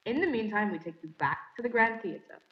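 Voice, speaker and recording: A woman's voice: medium pitch, expressive, a little fast, natural voice. A woman playing a formal radio announcer reading scripted news bulletins in a stage play. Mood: formal, grave. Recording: dull, echoey stage recording.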